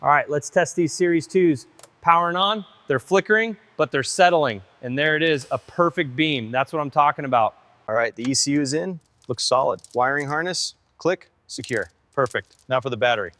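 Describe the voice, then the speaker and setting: A man's voice: a little high, moderate pace, fairly bright tone. A man working as a car restoration mechanic, calling out each step in short, clipped, procedural phrases. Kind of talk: short step-by-step workshop commentary.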